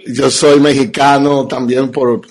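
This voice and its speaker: A man with a Dominican accent teaches like a pastor at a conference. His voice is husky and of medium pitch. He speaks emphatically, pausing deliberately to let each point land. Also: little pitch movement, darkish tone. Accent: Dominican Spanish. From Dominican Republic. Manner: emphatic, rhetorical questions, deliberate pauses. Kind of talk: teaching sermon to an audience.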